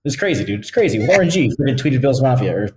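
A middle-aged man co-hosting a podcast, excitable and laughing, with casual words like "freaking" and "dude". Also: mid-range voice, quick pace, slight background noise. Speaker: podcast co-host and business owner. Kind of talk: casual chat.

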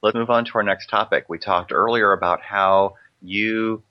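A man's voice: on the low side, steady tone, normal speed, lo-fi sound. A man hosting a radio interview, affirming his guest and asking long questions full of fillers. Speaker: radio show host.

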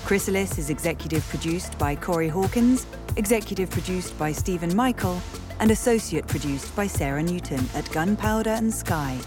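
A woman's voice: fairly low pitch, animated, normal speed, music in the background. A woman announcer giving a scripted read of podcast credits.

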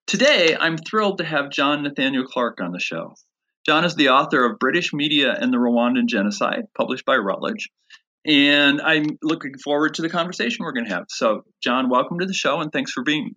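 A man hosting a book podcast, introducing his guest and asking questions in a friendly, halting way. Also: medium pitch, expressive, pushed and bright, lo-fi sound. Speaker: podcast host. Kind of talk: podcast interview.

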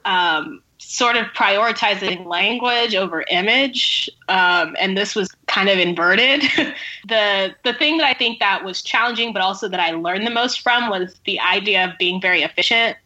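Bright-voiced woman chatting as a guest. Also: mid-range voice, normal speed, dull audio. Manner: conversational, frequent "like" and "sort of". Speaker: guest novelist and screenwriter. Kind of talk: casual podcast interview talk.

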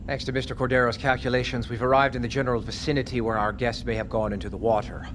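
A man's voice: medium pitch, little pitch movement, quick pace, background noise, close to an everyday voice. An actor playing a businesslike man in command of a sea expedition in an audio drama, briefing matter-of-factly. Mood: businesslike, brisk. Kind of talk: immersive audio drama acting.

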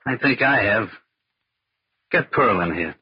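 Slangy small-time American crook, vintage radio. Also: male voice, fairly low pitch, expressive, measured pace, husky, exaggerated voice. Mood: scheming. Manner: slangy tough-guy talk. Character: slangy, lazy, scheming small-time male crook turned kidnapper. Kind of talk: 1940s American radio crime drama acting. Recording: dull vintage radio, music and crowd behind.

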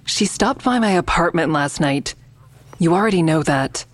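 A woman playing a guarded, earnest woman in an audio drama, speaking in a measured, evasive way. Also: lowish voice, lively intonation. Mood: guarded, insistent. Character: guarded, earnest woman negotiating with police, also narrating. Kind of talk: naturalistic audio drama acting and narration.

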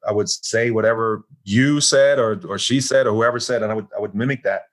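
A man's voice: lowish voice, crowd noise. A man in his forties from Germany, speaking with a German accent as an actor being interviewed, often repeating words as he restarts. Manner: halting, many restarts. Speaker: actor guest. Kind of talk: casual interview.

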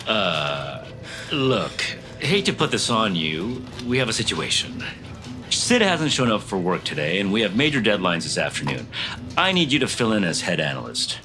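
A businesslike male office boss in an audio drama. His voice is fairly low and moves in lively swings, with music underneath. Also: moderate pace. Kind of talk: audio fiction acting.

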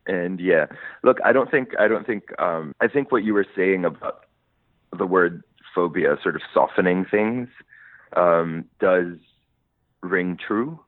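Man from lebanon, very low voice, thoughtful and chuckling, dry phone-like sound. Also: measured pace, dry recording. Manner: thoughtful, laughs while telling stories. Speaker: musician guest. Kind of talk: conversational interview. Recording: dry tinny phone-like line.

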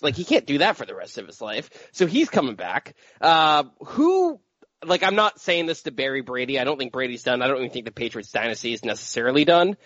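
A man's voice: on the high side, fairly bright tone, dry recording. A man co-hosting a sports podcast, arguing his points animatedly. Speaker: podcast co-host. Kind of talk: casual sports talk. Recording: dry lo-fi podcast mic.

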